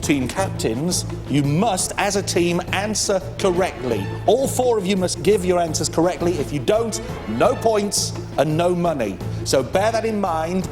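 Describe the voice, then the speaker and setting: A man's voice: high voice, expressive, unhurried, few pauses. A man hosting a TV quiz show in an upbeat, bantering presenter's style. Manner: jocular, bantering with contestants. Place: TV studio with audience. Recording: loud music and audience behind the voice.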